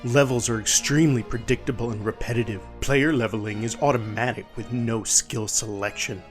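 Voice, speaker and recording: A man's voice: mid-range voice, fairly even intonation, fairly quick. A man performs a dramatic reading of scathing game reviews. His delivery is theatrical and emphatic, playing up the displeasure. Music runs underneath his voice. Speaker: narrator. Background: background music.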